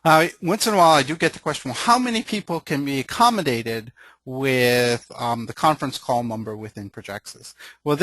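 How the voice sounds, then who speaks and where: A man presenting a webinar, explaining a software demonstration informally, in a conversational way with hesitant, pausing phrasing. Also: medium pitch, no echo, low-quality recording.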